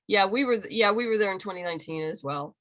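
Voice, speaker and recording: A woman's voice: mid-range voice, expressive, quick pace, fairly bright tone, slight background noise. A woman being interviewed as a writer and creator, talking casually and enthusiastically on a video call. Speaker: interviewed comic book writer and audio drama creator.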